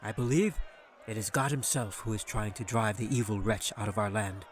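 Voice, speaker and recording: A man's voice: lowish voice, fairly even intonation, normal speed, a faint crowd, close to an everyday voice. Man voicing a kindly, weary fantasy dwarf. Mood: weary, earnest. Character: red-bearded male dwarf, kindly, weary, devout. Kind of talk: fantasy audiobook character voice.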